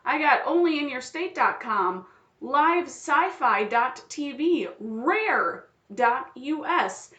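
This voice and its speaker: A woman co-hosting a casual podcast, bubbly and teasing, tossing in quick interjections. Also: sits high, animated, bright, forceful tone, roomy sound, muffled recording. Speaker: podcast co-host. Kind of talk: casual chat.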